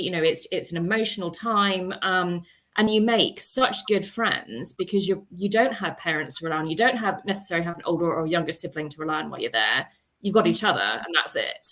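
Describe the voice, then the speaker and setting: A woman author talks on a discussion panel in a slightly low voice. Her style is conversational and reflective, and she unfolds her thoughts at length like someone telling a personal story. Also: fairly bright tone.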